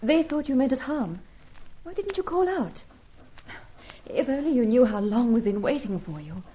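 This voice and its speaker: A woman's voice: high-pitched, sing-song, normal speed. An actress playing an earnest, anxious young English woman in an old-time radio drama, with precise melodramatic phrasing. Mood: suspicious. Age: young adult. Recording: dull vintage radio recording.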